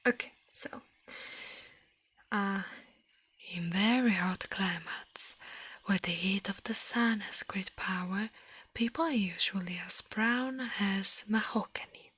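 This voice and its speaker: Breathy, whispering ASMR woman, slow and close. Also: medium pitch, dry recording. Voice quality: soft, breathy, often whispered. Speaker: ASMR livestreamer. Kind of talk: whispered ASMR, reading fables aloud and chatting to viewers.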